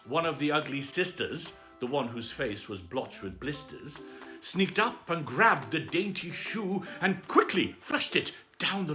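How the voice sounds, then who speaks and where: A man reading a children's bedtime story aloud in a theatrical, playful manner with drawn-out, dramatic phrasing. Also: fairly high pitch.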